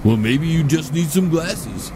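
An actor playing a cocky, grouchy older street-tough uncle with a brash, grumbling manner in a comedy audio drama. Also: male voice, on the high side, expressive, measured pace, heavy background noise. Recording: loud music behind.

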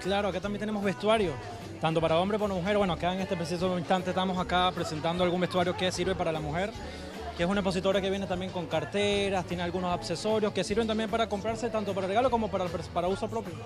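A man's voice: high voice, steady tone, quick pace, a crowd in the background. Eager Chilean man promoting his fair. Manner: eager, agreeable, repeats "claro". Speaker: event organizer. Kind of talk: on-camera promo interview. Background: background music.

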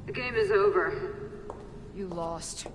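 A woman's voice: mid-range voice, slow pace, noisy background, natural voice. Woman playing a defiant scientist villain in echoing hall. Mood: smug, defiant. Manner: scornful, defiant. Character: bitter, defiant middle-aged female scientist villain. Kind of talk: cinematic video game acting. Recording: long echoing reverb, music behind.

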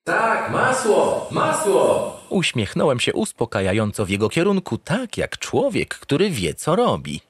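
A man's voice: mid-range voice, huge pitch swings, normal speed, hardly any pauses, slightly rough, no room sound. A man narrating a sci-fi comedy audio drama in a theatrical, playful way, as a cheerful, self-satisfied, dim-witted spaceship captain. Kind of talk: comedic first-person scripted narration.

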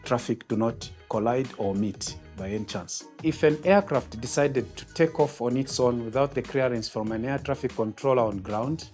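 A man's voice: medium pitch, moderate pace, hardly any pauses, darkish tone. A middle-aged man with a Zambian accent, explaining tower procedures in a formal, methodical way for an interview. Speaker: air traffic controller, tower. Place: glass-walled control tower room, indoors. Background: background music.

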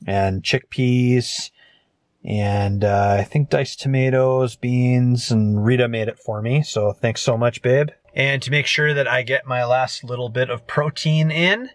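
Male vlogger, thirties, medium pitch, informal rambling chat, dry open-air sound. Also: fairly even intonation, a little slow, no room sound. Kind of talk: casual outdoor vlog chat. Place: outdoors beside and inside a parked truck. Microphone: handheld camera at arm's length.

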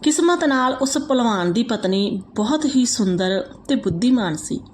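A woman reading out a moral folk tale as a storyteller, in a steady, even manner. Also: on the high side, moderate pace, slight background noise.

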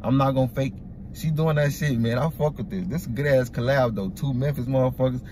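A young man hosting a music reaction video from a parked car, talking excitedly in profane slang into a phone held at arm's length. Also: mid-range voice, fast talker, background noise. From Iraq. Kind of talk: casual reaction commentary. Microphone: phone camera at arm's length.

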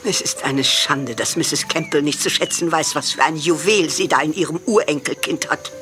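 A woman's voice: deep voice, moderate pace, music in the background, natural voice. A woman playing a hearty, doting older countrywoman in a radio drama. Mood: doting, indignant.

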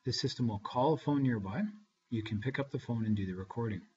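A man narrating a step-by-step software tutorial in a measured, instructional way. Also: medium pitch. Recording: dull, lo-fi screencast voice-over.

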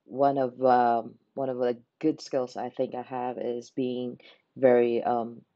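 A young woman from the Philippines, speaking in an unscripted, reflective way. She pauses often to search for her words. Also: extremely low pitch, level tone, no room sound, lo-fi sound. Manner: unhurried, pausing to search for words. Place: small indoor room by a window.

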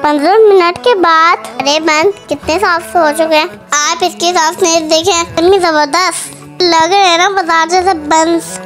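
A woman's voice: very high voice, steady tone, moderate pace, a bit bright. A young-sounding female cooking host, narrating a recipe step by step in voice-over. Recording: music behind the voice. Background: background music.

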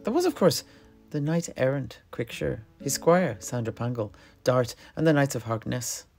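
Genial alchemist truthsayer for fantasy audio lore male performer, gravelly edge, exclaiming and hesitant, faint music underneath. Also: medium pitch, expressive, normal speed, darkish tone. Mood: storytelling. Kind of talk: in-character fantasy lore storytelling.

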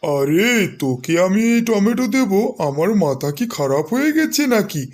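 A man's voice: high voice, sing-song, normal speed, darkish tone, faint music behind. A man voicing a lazy, rude crow in a children's cartoon, boastful and grumbling. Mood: bossy, threatening. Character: lazy, rude crow who loves singing and sleeping.

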